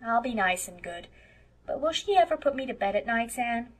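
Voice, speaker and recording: A woman's voice: a little high, expressive, moderate pace, low-quality recording. A woman voicing a blunt, defiant eight-year-old farm boy with childish, folksy grammar in an audiobook. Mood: earnest, decided. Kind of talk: audiobook character voice.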